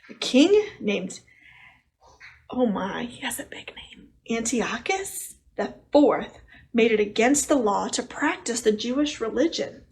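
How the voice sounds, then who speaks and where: A woman's voice: a little high, expressive, measured pace, a little mellow. A woman hosting a children's storytime, reading a picture book aloud with simple, chatty asides to the young listeners. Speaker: storytime host.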